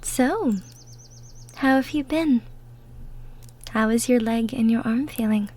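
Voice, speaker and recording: A woman playing a cheerful, gentle young woman from the woods in an intimate roleplay audio drama, with a sweet, folksy manner. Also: on the high side, slow. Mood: friendly, curious.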